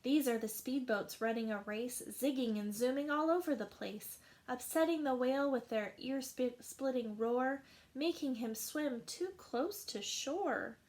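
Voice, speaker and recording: Woman teacher, storybook read-aloud, high-ish voice, slow deliberate pace. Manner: slow, expressive rhyming narration. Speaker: teacher reading a picture book aloud.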